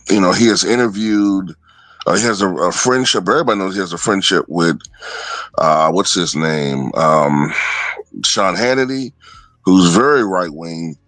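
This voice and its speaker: A man's voice: lowish voice, a bit bright, no echo. A man giving casual, opinionated commentary off the cuff, often checking in with the listener. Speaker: online political commentator.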